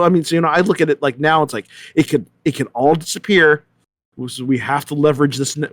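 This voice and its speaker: A man hosting a casual panel discussion, thinking aloud in a halting way and often repeating his words. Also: on the high side, dry recording. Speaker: discussion host.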